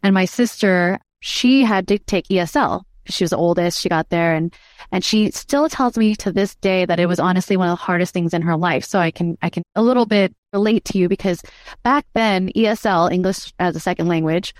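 A woman podcast host whose first language is Vietnamese, warmly affirming her guest in an effusive manner. Her voice is on the low side for a woman, and the sound is dry and close-miked. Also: a little fast, no room sound. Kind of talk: casual interview chat.

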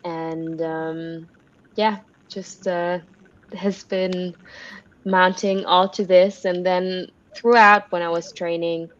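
A woman's voice: lowish voice, little pitch movement, slow pace, muffled recording. A woman from Switzerland whose first language is Swiss German, answering interview questions in an upbeat, rambling way with many fillers. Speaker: interview guest, pro wrestler.

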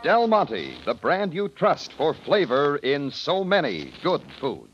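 A man's voice: on the high side, wildly swooping pitch, takes it slowly. A man with an American accent making polished, emphatic scripted announcements in 1950s radio style. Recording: muffled old radio, music behind.

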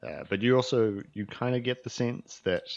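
A man narrating as game master in a halting way, full of fillers and restarts. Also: medium pitch, lively intonation, vocal fry, a little mellow, muffled recording.

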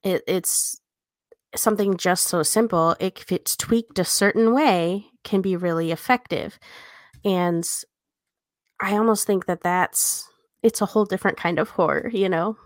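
A woman's voice: mid-range voice, measured pace, no room sound, clean studio sound. A woman co-hosting a podcast in casual chat, thinking aloud in an informal, tentative way. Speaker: podcast co-host.

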